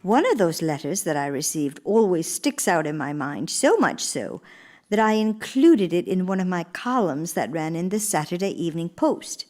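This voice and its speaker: A woman's voice: fairly low pitch, very animated, moderate pace, darkish tone. An actress playing an earnest, gracious elder First Lady in a radio play, speaking formally and with theatrical emphasis. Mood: reminiscing. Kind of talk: radio theater monologue and dialogue.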